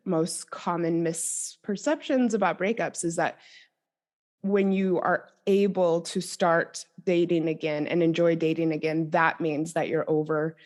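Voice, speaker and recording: Lower-pitched woman, coach as podcast guest. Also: steady tone, a little slow, darkish tone. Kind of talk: conversational interview, explanatory.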